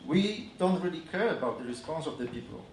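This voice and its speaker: A man with a Polish accent giving a conference talk on advertising, halting and full of fillers and restarts. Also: fairly high pitch, animated, a little hiss or hum. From Poland. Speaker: advertising agency speaker. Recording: echoey room from a distance.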